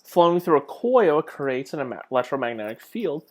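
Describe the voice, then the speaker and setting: A man's voice: on the high side, very animated, fairly quick. A man giving an informal slide lecture in a conversational way, with rhetorical questions and self-deprecating asides. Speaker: lecturer, clinical instructor.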